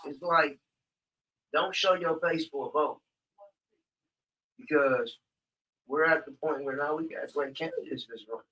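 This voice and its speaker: A man co-hosts a casual community talk show in a laid-back, affirming way. His voice is clear and bright at a middle pitch. He sits some distance from the microphone. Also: a little slow, long pauses, lo-fi sound. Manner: laid-back, affirming, fills with "you know what I mean". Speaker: co-host.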